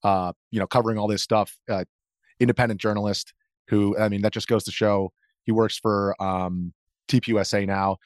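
A man's voice: on the low side, fairly even intonation, normal speed, dry recording, studio quality. A man giving an informal, matter-of-fact first-hand account as an interview guest. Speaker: interview guest, video journalist. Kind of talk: casual first-hand recounting.

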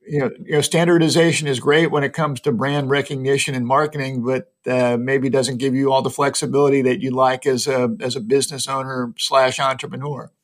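A man's voice: medium pitch, flat delivery, fairly quick, few pauses. A man hosting a business podcast, asking long questions with many uhs and repeated words. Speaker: podcast host. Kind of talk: casual interview questions.

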